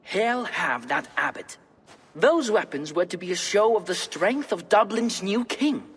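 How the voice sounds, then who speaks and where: A man's voice: sits high, expressive, unhurried, fairly bright tone, husky, full character voice. A man playing a proud, hot-headed young medieval king in a video game, earnest and dramatic. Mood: indignant. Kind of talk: video game cutscene acting. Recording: long reverb, faint noise.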